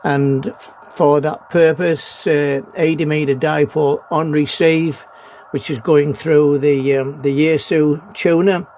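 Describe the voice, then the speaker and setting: A man gives a casual, unscripted show-and-tell in a halting, hesitant way, often pausing mid-sentence. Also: fairly high pitch, steady tone, darkish tone. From New Zealand. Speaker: amateur radio operator, presenter. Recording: noisy amateur home video.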